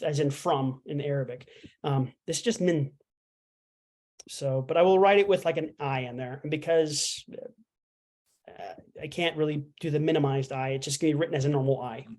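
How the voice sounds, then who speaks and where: Easygoing teacher talking through a lesson, mid pitch, loose and chatty. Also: a little mellow. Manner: chatty, explains with fillers and playful asides. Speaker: language instructor. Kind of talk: casual online language lesson.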